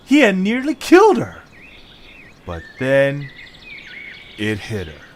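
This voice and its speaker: A man's voice: mid-range voice, wildly swooping pitch, slow pace. A man narrating a scripted story in a dramatic style. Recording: faint background noise with birdsong.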